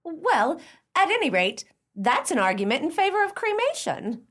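A woman's voice: very high voice, big pitch swings, normal speed, fairly bright tone, full character voice. An actress playing a droll American society woman in a radio play, with a theatrical, questioning manner.

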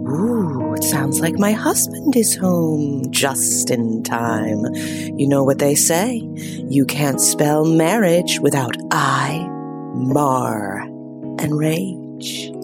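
A woman's voice: sits low, animated, extremely slow, over loud music, heavily acted. A woman playing a sly, sardonic killer with a drawling, dryly menacing manner in a horror audio drama. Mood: slyly menacing. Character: sly, sardonic murderous woman, librarian's old friend.

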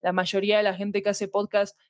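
A woman's voice: mid-range voice, little pitch movement, fairly quick, darkish tone, dry recording, studio quality. Latin American woman, thoughtful and conversational. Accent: Latin American Spanish with voseo. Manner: thoughtful, discursive, seeks agreement with tag questions. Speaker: podcast guest, fiction podcast creator. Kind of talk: reflective conversational interview.

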